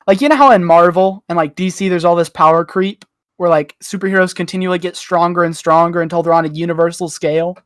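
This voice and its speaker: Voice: medium pitch, fast, few pauses, no echo, studio quality. A podcast panellist chatting casually, insistent and ready to argue a point. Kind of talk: casual chat.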